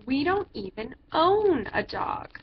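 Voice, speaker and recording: Female reader playing practical picture-book dad: firm, orderly, high-pitched; muffled, noisy recording. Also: big pitch swings, slow, full character voice. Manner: checklist-like questions, firm replies. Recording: dull lo-fi sound with background noise.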